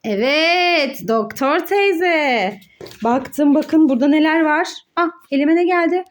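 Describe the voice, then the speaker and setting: A woman's voice: sits high, takes it slowly. A mother hosting a children's learning game, speaking in a sing-song, exclaiming way as she asks questions and answers them. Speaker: mother hosting a children's educational play video. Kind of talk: child-directed play narration with questions and answers.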